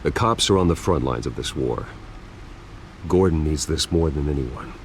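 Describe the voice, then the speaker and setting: An actor playing a brooding, determined vigilante billionaire in a video game, speaking tersely and deliberately with a serious manner. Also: male voice, rumbling low, slight background noise. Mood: firm, reasoning. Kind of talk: dramatic video game acting.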